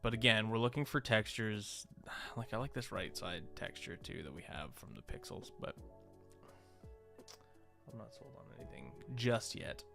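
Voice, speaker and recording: Low, gravelly man musing on a livestream. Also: normal speed, music in the background. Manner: musing, hesitant, thinking aloud in fragments. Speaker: streamer and visual artist. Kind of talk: casual livestream commentary while working on screen.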